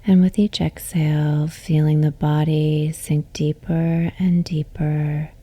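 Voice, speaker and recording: A woman yoga nidra teacher guiding a relaxation meditation in a soothing, gentle, unhurried way, with soft, breathy phrase endings. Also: deep voice, little pitch movement.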